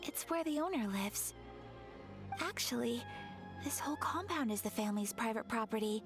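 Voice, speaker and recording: A refined young noblewoman for a video game. Her voice is high and courteous, with a gentle, hesitant formality. Music plays behind her. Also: expressive, normal speed. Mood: earnest, polite.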